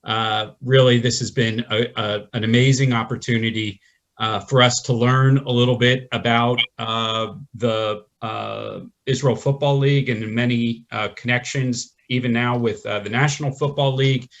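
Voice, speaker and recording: Upbeat host on dull call audio. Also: male voice, medium pitch, steady tone, fairly bright tone. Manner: upbeat, folksy, halting with fillers. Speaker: event host. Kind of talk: closing remarks on a webinar.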